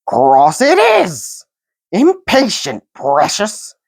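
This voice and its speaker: A man voicing a slimy, wretched male cave creature who hisses and whines in a high, drawn-out, wheedling voice. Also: huge pitch swings, vocal fry, breathy edge, no room sound, studio quality, exaggerated voice. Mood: sly, wheedling. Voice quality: hissing, sibilant. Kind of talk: audiobook character voice.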